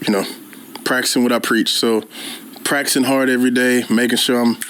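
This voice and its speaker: Easygoing football player in an interview. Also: male voice, medium pitch, flat delivery, brisk, a bit bright, clean tone. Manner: easygoing, filler-heavy and earnest. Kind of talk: relaxed interview answers.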